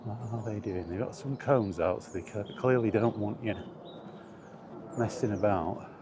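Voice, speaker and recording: Guy with a low voice just rambling on a walk, easygoing chat, bit of outdoor noise behind him. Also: unhurried. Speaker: solo vlogger. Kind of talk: casual rambling chat while walking. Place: outdoors, walking along streets or paths. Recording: noisy outdoor recording with environmental sounds.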